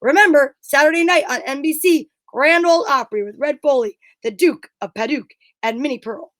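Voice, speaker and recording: A woman's voice: sits high, brisk, fairly bright tone, no echo. A woman co-hosting an online show, chatting casually and reading vintage radio advertising copy. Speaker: co-host reading old radio show scripts.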